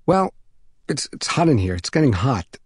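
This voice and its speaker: A man's voice: mid-range voice, big pitch swings, moderate pace, husky, dry recording, clean studio sound. A man voicing an anxious young schoolboy, plaintive and flustered, in an audiobook story. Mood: flustered, pleading. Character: anxious young schoolboy stuck behind a locked door.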